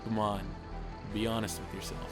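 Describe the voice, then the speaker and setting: A man's voice: lowish voice, level tone, moderate pace, loud music behind the voice, lightly acted. A man playing a wry, self-deprecating ordinary teenage boy who narrates his superhero story in a comic dub, confiding and sardonic.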